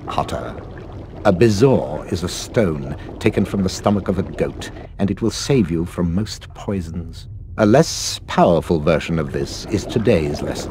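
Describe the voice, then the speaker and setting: An actor playing a cold, sneering potions master in a video game, disdainful and deliberate. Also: male voice, low voice, noisy background. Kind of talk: video game cutscene acting.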